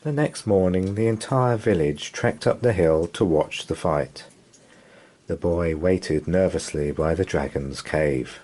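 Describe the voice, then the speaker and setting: A man reading a children's bedtime story aloud in an animated storytelling style. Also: low voice, measured pace.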